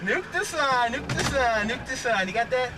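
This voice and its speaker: A man's voice: extremely high pitch, lively intonation, moderate pace. A man driving a car and testing a big radio amplifier, joking, laughing a lot and hollering into the radio. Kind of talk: casual chat on the road. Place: moving car. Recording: loud road noise, home video.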